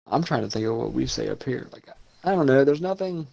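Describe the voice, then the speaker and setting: Gravelly-voiced young man rambling casually. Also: medium pitch, animated, a little fast, dark tone, muffled recording. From Ohio, USA. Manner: rambling, casual, swears freely. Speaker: podcast co-host. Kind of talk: casual chat.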